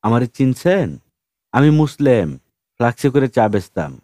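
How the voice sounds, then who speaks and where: A man's voice: medium pitch, very animated, unhurried, warm tone, dry recording, studio quality. A man voicing a huge, naively earnest street hustler in an audiobook, speaking colloquial rustic dialect in a respectful, pleading way. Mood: deferential, eager.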